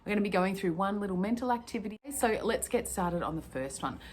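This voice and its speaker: A woman stress coach leading a breathing lesson in a gentle, chatty way, her voice croaky from a cold. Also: mid-range voice, slight background noise. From Australia. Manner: gentle, chatty, slows down to calm. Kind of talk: guided breathing lesson to camera.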